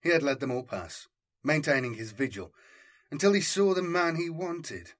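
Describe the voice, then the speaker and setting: A man narrating a scripted story as an audiobook, in a measured, solemn and dramatic way. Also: on the high side, expressive, a bit bright.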